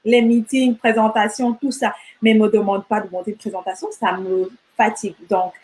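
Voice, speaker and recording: A middle-aged woman from Rwanda talks as an interview guest in a relaxed, conversational way. Her delivery is animated, with frequent restarts and repeated words as she searches for what to say next. Her pitch sits in an ordinary middle range. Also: normal speed, slight background noise. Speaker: guest entrepreneur. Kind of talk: casual interview chat.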